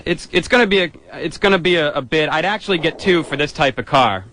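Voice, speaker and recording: A bright, slightly high-voiced man playing a car repair shop clerk on a prank call. He is casual and matter-of-fact, hedging and hesitating as he pushes extra work. There is some background noise on the radio recording. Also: expressive, fast. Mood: breezy upselling. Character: chatty, upselling male auto repair shop clerk. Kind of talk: improvised prank phone call.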